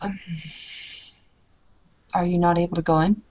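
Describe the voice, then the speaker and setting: A woman playing an anxious, earnest young woman in a tabletop game, halting and full of questions. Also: on the low side, little pitch movement, mellow, dull audio, close to an everyday voice. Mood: puzzled, concerned.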